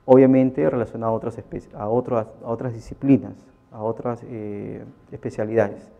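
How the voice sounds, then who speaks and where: A male lecturer speaks in a gravelly voice, often hesitating on "eh" and tagging his phrases with "¿no?". The recording is dull and muffled. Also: mid-range voice, fairly even intonation, normal speed, warm tone. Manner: frequent "eh" and "¿no?" tags. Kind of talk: technical lecture.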